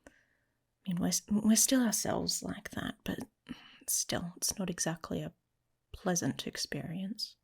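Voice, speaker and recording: Breathy, slow-talking woman playing a guarded she-wolf. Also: fairly low pitch, exaggerated voice. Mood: guarded, soft. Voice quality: breathy, husky, often dropping to a whisper. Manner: wry, casual, emotionally guarded. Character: guarded, teasing young female werewolf, devious and prickly. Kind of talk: intimate ASMR roleplay acting.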